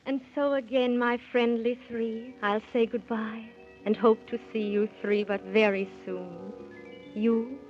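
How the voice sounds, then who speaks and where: A woman's voice: fairly high pitch, little pitch movement, unhurried, faint music behind, lightly acted. An actress playing a gracious fairy queen who speaks formally in rhyme in a 1930s children's radio serial. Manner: formal, rhyming farewells. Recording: muffled 1930s radio recording.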